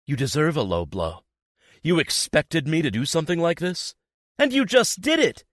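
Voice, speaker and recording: A man's voice: mid-range voice, sing-song, moderate pace, dry recording, studio quality, pitched above the performer's own voice. A man voicing a bitter, betrayed young woman in an audiobook, sarcastic and accusing, his voice raised well above his own. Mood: furious. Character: furious betrayed young woman, sharp and scornful. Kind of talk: audiobook character voice.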